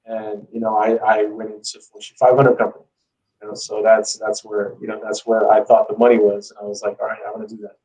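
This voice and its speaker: A man's voice: lowish voice, completely flat delivery, brisk, dark tone, far from the mic, rough recording. A man telling his story as a guest in a casual interview, speaking informally with lots of "you know". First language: English.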